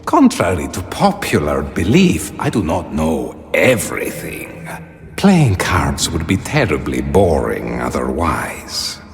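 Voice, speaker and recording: An actor playing a mysterious, courteous trickster guide in a video game, theatrical and formal with a gravelly edge. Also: male voice, a bass voice, big pitch swings, takes it slowly, soft background music, heavily acted. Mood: sly, teasing. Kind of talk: video game dramatic acting.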